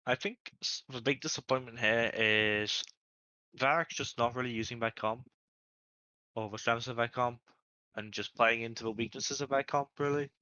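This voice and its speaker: A man with a soft voice casting a live online game, joking about himself between plays. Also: mid-range voice, moderate pace, no room sound, muffled recording. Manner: self-deprecating, joking. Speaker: esports caster.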